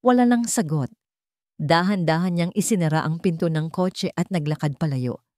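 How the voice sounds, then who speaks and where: A woman narrating a scripted romance story in a measured, dramatic way with deliberate pauses. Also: fairly low pitch, a little mellow, no room sound, clean studio sound.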